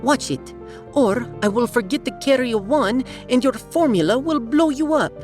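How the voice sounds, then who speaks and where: A man's voice: very high voice, expressive, normal speed, soft background music. A man voicing a practical, teasing female mathematician with a Russian accent and chiding manner in a sci-fi audio drama. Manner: chiding, no-nonsense.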